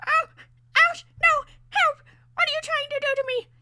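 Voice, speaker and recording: A woman's voice: very high voice, steady tone, moderate pace, bright, forceful tone, a little hiss or hum, pitched above the performer's own voice, heavily acted. A woman voicing a small, vengeful male parakeet in a storybook tale, scolding and squawking melodramatically. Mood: panicked, shrieking. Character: indignant, vengeful talking male pet parakeet, small and shrill.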